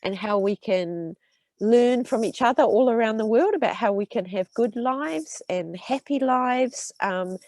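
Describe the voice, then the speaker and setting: A woman's voice: fairly high pitch, unhurried, no room sound. A New Zealand woman in her thirties, an urban health researcher with a cold and a stuffy nose, explaining to students with lots of ums and restarts. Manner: warm explaining with many fillers and restarts. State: congested with a cold. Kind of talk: talk to students.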